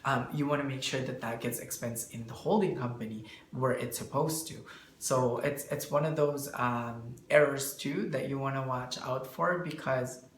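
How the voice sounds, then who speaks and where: A man answering interview questions as a bookkeeper, explaining in a halting way and searching for words. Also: medium pitch. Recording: echoey room, faint background noise.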